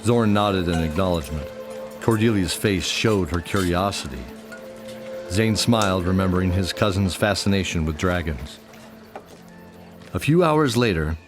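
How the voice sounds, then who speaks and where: Very deep male storyteller over music. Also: moderate pace. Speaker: narrator. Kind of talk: scripted dramatic narration. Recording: music bed under the voice.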